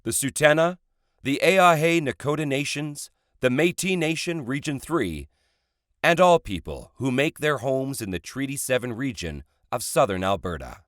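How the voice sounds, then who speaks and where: A man's voice: mid-range voice, animated, moderate pace, fairly bright tone, no room sound, clean studio sound. A man reading a scripted podcast introduction and acknowledgement in a formal announcer style. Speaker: show announcer.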